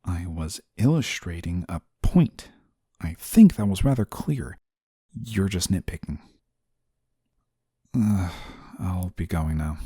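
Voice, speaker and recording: A man acting a low, hushed, dutiful guardian angel. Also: lively intonation, slow, pauses often, husky, no room sound, clean studio sound, heavily acted. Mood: exasperated, dutiful. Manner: formal, reserved, prim and exasperated. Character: stern, flustered, dutiful male guardian angel. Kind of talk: scripted audio roleplay, intimate one-sided dialogue.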